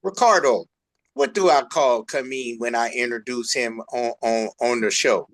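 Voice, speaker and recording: A man's voice: medium pitch, normal speed. A man hosting a music show, chatting casually in an informal, slangy, effusive way. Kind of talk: casual interview chat.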